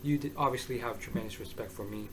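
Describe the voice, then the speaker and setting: A man giving a casual, rambling review monologue, hoarse and excitable, often restarting his sentences. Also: medium pitch, fast. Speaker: manga reviewer.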